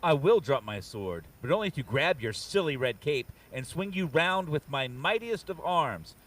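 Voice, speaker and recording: A man's voice: a little high, very animated, moderate pace, fairly bright tone. A man voicing a boastful, mighty barbarian hero in a comic book table read, declaiming pompously, recorded at a distance from the mic. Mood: boastful, combative. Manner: pompous, archaic heroic declaiming.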